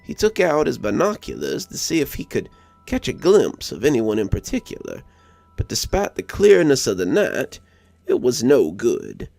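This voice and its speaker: A man's voice: mid-range voice, wildly swooping pitch, normal speed, vocal fry, darkish tone, no room sound. A man reading a scripted story as a narrator. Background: music under the voice.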